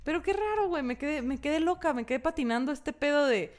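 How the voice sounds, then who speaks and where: A woman with a Mexican accent co-hosts a casual podcast, her voice pitched fairly high. She talks in an animated, slangy way, swearing freely. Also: fast, a little hiss or hum. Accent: Mexican Spanish. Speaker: podcast co-host. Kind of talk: casual chat.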